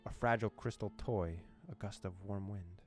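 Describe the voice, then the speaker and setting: A man with a low voice hosts a video game playthrough. He moves between reading story text aloud and casual, slangy commentary, with faint music behind him. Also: normal speed, a little mellow. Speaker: gaming channel host.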